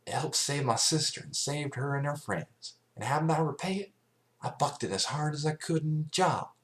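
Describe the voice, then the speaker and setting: A man's voice: medium pitch, voice pushed up, close to an everyday voice. A man voicing an earnest, folksy young female farm pony with plain-spoken ways, in a story reading.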